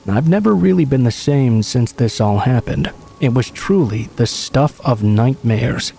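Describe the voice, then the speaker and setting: A man calmly narrating true horror stories in an even, scripted read. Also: mid-range voice, measured pace, vocal fry, a little hiss or hum.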